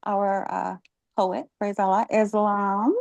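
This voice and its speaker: A woman leading a religious class, asking catechism questions and encouraging her students, often pausing with ums. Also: medium pitch, level tone, creaky, darkish tone, dry recording. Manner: encouraging, halting with many ums.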